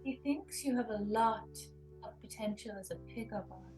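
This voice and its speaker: Lady doing a seductive persuasive recruiter gal, high voice, coaxing, comedy table read, faint music. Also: moderate pace, a little mellow, natural voice. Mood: reassuring. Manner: coaxing, reassuring. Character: persuasive, seductive female recruiter for a pickup artist.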